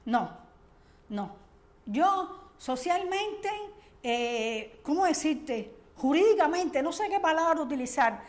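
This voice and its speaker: An older woman from Cuba with a Cuban Spanish accent, talking earnestly and reflectively as an interview guest, often pausing with hesitations. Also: sits high, expressive. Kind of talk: conversational interview.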